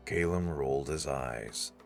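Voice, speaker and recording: Deep guy narrating a story, scripted read, music underneath. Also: measured pace, vocal fry.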